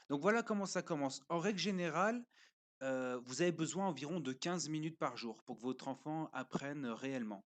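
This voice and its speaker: Guy doing a chill review, mid pitch, lots of euh and pauses, walks you through it step by step. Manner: hesitant, pauses often with fillers; explains step by step while showing a screen. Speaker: parent reviewing a learning platform. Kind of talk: casual spoken review.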